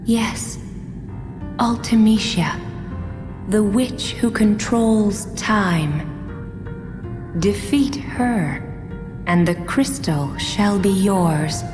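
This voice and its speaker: A woman playing a serene, solemn game goddess who speaks gravely and gently in formal, measured words. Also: medium pitch. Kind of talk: video game cutscene acting. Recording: long echoing reverb, loud music. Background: game music.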